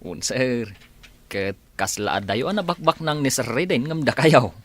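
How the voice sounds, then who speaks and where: A male actor plays a cheeky Ilocano driver in a radio comedy drama. His mid-pitched voice leaps about, nervous and needling, recorded very dry and close. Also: lively intonation, normal speed, dry recording, close to an everyday voice.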